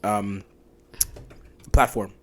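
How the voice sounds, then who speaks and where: A young man hosting a podcast in casual chat, animated and joking, often calling people bro. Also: fairly low pitch, drawn-out words. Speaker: podcast host.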